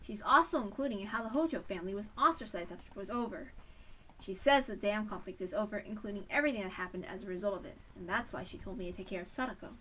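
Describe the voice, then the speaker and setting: A woman's voice: medium pitch, fast, pushed and bright, recorded from a distance, slight background noise, low-quality recording. A woman reading a visual novel story aloud as a narrator. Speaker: reader.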